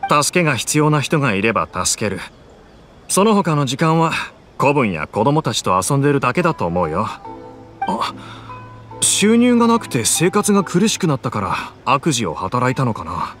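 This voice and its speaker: A man's voice: mid-range voice, wildly swooping pitch, moderate pace, a bit bright, soft background music. An actor playing a friendly, observant young townsman in a video game, speaking in an easygoing, thoughtful way.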